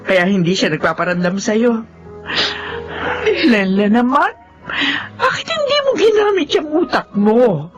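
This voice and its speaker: A worried Filipino mother in a radio drama. Her delivery is emphatic and scolding, with big swings in pitch, and soft music sits behind her. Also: sing-song, unhurried. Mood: shocked, scolding. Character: exasperated, worried mother of a pregnant daughter.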